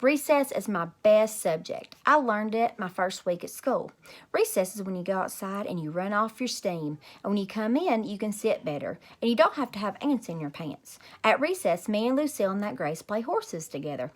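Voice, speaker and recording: A woman's voice: medium pitch, sing-song, moderate pace. A woman storyteller reading a children's book expressively to young listeners. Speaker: read-aloud teacher, storyteller.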